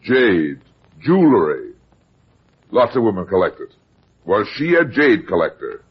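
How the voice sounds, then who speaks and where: An actor playing a brusque, sardonic, wisecracking private detective in a vintage radio drama. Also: male voice, on the low side, big pitch swings, measured pace, breathy edge, full character voice. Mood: impatient. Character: hard-boiled, wisecracking male private detective. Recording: muffled vintage radio recording.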